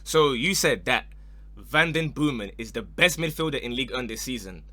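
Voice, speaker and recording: A man's voice: mid-range voice, animated, normal speed, sharp tone. A man hosting a casual football panel, slangy and teasing in his delivery. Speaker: podcast host.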